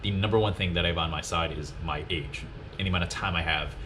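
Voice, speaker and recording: A man's voice: sits low, fairly even intonation, quick pace, noisy background. A man in his twenties hosting a personal finance video, explaining tips to viewers in a casual, instructive, emphatic way. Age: 20s. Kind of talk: explanatory list-style talk to viewers.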